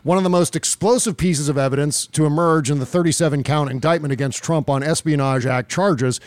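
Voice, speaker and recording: A man's voice: fairly high pitch, fairly quick, dry recording. An American man hosting a podcast, giving casual, emphatic political commentary and repeating phrases for effect. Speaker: podcast host.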